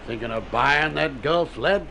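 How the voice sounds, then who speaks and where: Gruff sea captain, gravelly, teasing folksy talk, old hissy radio play. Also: male voice, a little high, a little slow. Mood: gruff, wary. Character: shady old schooner captain, gruff but soft-hearted. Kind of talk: old radio drama acting.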